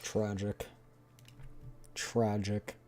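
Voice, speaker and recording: A man's voice: lowish voice, monotone, drawn-out words, a little mellow. A man livestreaming a game, chatting casually with his audience. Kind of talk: casual game stream chat.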